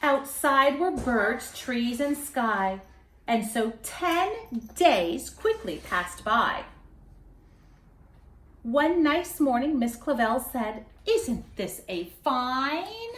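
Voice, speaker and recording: A woman with a high, very lively voice reads a picture book aloud. She takes her time, leaning into dramatic pauses and expressive phrasing for young listeners. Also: a little hiss or hum. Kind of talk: children's story read aloud. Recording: roomy echo.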